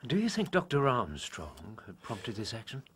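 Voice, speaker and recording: An earnest British gentleman companion in a radio play. His mid-pitched voice is formal and proper yet very expressive, with a little background noise. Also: normal speed. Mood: thoughtful. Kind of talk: audio drama acting.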